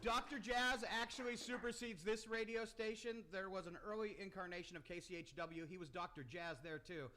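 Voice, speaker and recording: A man's voice: sits high, fairly even intonation, a little fast, few pauses, sharp tone. A man hosting and narrating a live radio theater broadcast from a studio room. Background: background noise.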